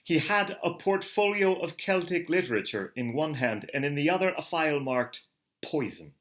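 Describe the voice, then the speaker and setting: A man, a theater director, reading a classic novel aloud with an expressive, theatrical delivery. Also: a little high, normal speed. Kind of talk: dramatic literary reading.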